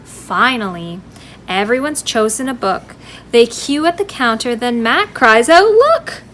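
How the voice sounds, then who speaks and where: Female children's storytime reader, high voice, unhurried and very animated, friendly sing-song delivery, clear background noise. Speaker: children's library storytime host. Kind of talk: expressive read-aloud of a rhyming picture book for young children.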